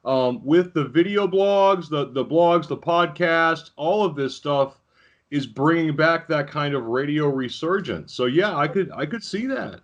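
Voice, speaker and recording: A man's voice: fairly high pitch, measured pace, muffled recording. A man interviewing casually as a podcast host, reacting in a conversational way.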